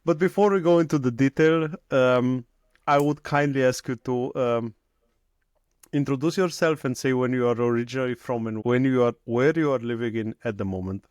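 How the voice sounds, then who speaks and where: A man's voice: mid-range voice, normal speed, darkish tone, dry recording, studio quality. Formal, hesitant man hosting. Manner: formal, hesitant, repeats words, non-native phrasing. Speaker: podcast host. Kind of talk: interview hosting.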